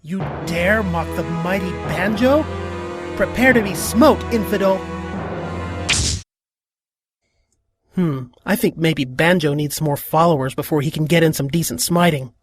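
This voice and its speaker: A woman voicing a cheeky, excitable, naive young male trickster with a slangy, mock-grand manner in a comic cartoon dub. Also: on the low side, moderate pace, over music, close to an everyday voice. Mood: excited, mock-grand. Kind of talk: animated comic fandub acting.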